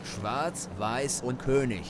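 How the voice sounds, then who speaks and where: A man's voice: medium pitch, slow, close to an everyday voice. A man voicing a wry, scheming wartime informant in a video game cutscene. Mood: conspiratorial. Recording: game audio over loud outdoor noise.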